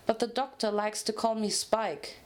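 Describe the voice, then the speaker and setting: A woman's voice: fairly high pitch, fairly even intonation, normal speed, natural voice. Woman voicing a small, childlike baby dragon boy. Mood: shy, matter-of-fact. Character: small young male baby dragon, innocent, captive, polite.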